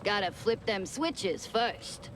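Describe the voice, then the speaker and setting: An actress playing a folksy, playful cartoon studio gatekeeper who talks in slangy, singsong rhymes. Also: female voice, mid-range voice, animated, takes it slowly, a bit bright, slight background noise.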